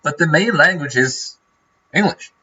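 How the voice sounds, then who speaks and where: Streamer, Norwegian-born man, bright husky voice, rambling casual chat, dull lo-fi audio. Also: fairly high pitch, lively intonation, unhurried. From Norway. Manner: rambling, many fillers and restarts.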